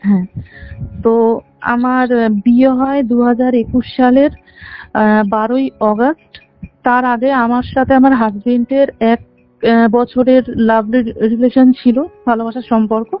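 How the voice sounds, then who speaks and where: A woman's voice: fairly high pitch, fairly even intonation, normal speed, long pauses, dry recording. A woman of about twenty earnestly recounts a personal story in detail on a call. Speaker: caller telling their own story. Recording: dull band-limited phone call, faint music. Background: faint background music.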